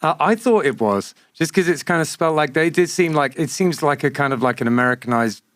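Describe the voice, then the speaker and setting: A man's voice: on the high side, lively intonation, brisk, a bit bright, no room sound. A man hosting a podcast, chatting in a laid-back, rambling way and swearing casually. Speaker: podcast host. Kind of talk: casual chat. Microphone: studio mic.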